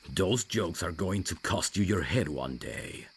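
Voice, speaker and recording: A man playing a reserved, rational vampire messenger in an audio roleplay, dry and guarded, with a gravelly, deep voice. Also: animated, measured pace, full character voice. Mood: mildly exasperated. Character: reserved, rational male vampire messenger, over fifty years undead.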